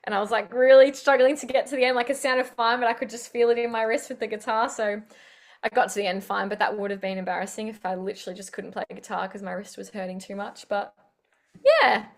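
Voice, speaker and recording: A woman's voice: medium pitch, fairly even intonation, fast talker. A young woman chatting in an interview over a video call, upbeat and full of fillers. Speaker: singer-songwriter guest. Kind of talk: casual interview chat.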